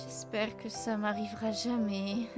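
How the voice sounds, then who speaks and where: A woman's voice: on the high side, slow pace. A woman voicing a sweet, sensitive, earnest and hesitant teenage schoolgirl in an amateur cartoon dub. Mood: shaken, grateful. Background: music.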